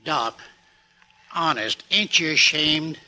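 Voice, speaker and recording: A high, piercingly bright American stagecoach driver in a vintage radio western. He is rustic, jumpy and easily flustered. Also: takes it slowly, heavily acted, poor audio. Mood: worried. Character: nervous, folksy Old West stagecoach driver. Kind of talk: 1940s radio western acting.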